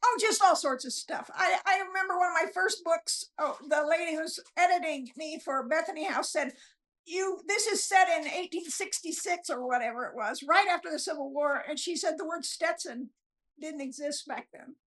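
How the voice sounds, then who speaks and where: A woman's voice: extremely high pitch, normal speed, fairly bright tone, poor audio. An older woman, chatting casually in an interview in a rambling, self-deprecating, chuckling way. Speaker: guest author.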